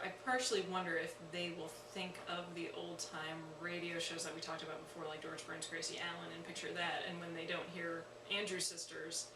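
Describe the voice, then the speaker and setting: Echoey, distant woman thinking aloud. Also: fairly low pitch. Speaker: theatre cast member. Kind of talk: conversational interview answers. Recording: echoey, distant mic, noisy ambience.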